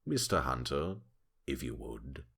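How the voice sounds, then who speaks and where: A man's voice: very deep voice, big pitch swings, creaky, fairly bright tone, slightly rough, exaggerated voice. A man playing a haughty, taunting prosecutor with a theatrical, condescending manner in a courtroom audio drama. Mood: mocking. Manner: theatrical, condescending, drawn-out delivery.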